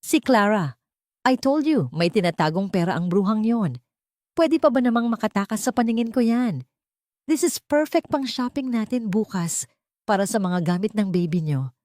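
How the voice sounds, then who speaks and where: A woman's voice: mid-range voice, expressive, normal speed, a little mellow, no echo, studio quality, pitched above the performer's own voice, lightly acted. A woman voicing a greedy, haughty older rich mother-in-law in a dramatic story, gloating and affected, with English mixed in. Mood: smug gloating.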